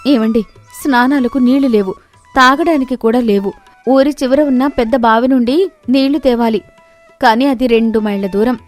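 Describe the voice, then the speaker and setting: A woman's voice: a little high, normal speed, faint music behind, lightly acted. A woman voicing a caring village wife in a moral story, earnest and pleading, speaking up firmly. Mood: worried. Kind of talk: animated moral-story voice acting.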